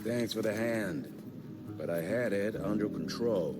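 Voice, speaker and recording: An actor playing a weary, tough older frontier agent in a video game, speaking in a gruff, folksy way. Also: male voice, on the low side, measured pace. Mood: wry. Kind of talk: video game cutscene acting. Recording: loud background ambience noise.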